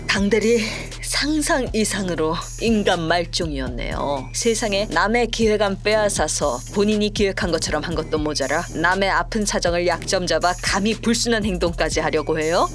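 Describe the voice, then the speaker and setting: A woman playing a stern, principled company chief in a Korean audio drama, measured and authoritative. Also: lowish voice, close to an everyday voice. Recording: background music under the voice.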